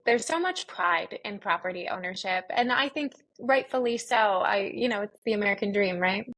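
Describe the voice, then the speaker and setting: A woman's voice: mid-range voice, expressive, moderate pace, a bit bright, rough recording. A woman hosting a podcast, interviewing in a conversational, encouraging and affirming way.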